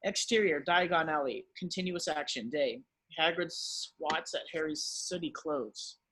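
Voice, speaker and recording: A man with a husky voice reading stage directions as the narrator of a script table read. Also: a little high, unhurried, pushed and bright, slight background noise, low-quality recording.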